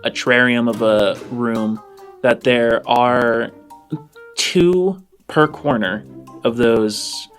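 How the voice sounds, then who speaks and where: A man runs a tabletop game as its narrator. He improvises casually in halting phrases, in a medium-pitched voice, with faint music playing underneath. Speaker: game master.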